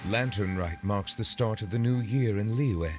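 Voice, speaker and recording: An actor playing a courteous, solemn dignitary in a video game, speaking in formal, measured, ornate phrases. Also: male voice, fairly low pitch, over music, natural voice. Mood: reflective, formal. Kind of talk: video game dialogue acting.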